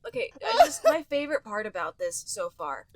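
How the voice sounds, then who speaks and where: A woman's voice: mid-range voice, wildly swooping pitch, a little fast, a little mellow, dry recording. A woman co-hosting a podcast, chatting casually and reacting to a story. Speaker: podcast co-host.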